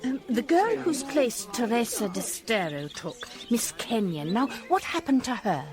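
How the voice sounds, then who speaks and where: A woman's voice: fairly high pitch, sing-song, unhurried, a crowd in the background. An actress with a British accent playing an observant, polite woman psychologist in a radio drama, thoughtful and courteous. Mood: curious. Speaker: psychologist and author, guest lecturer. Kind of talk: British radio drama dialogue and first-person narration.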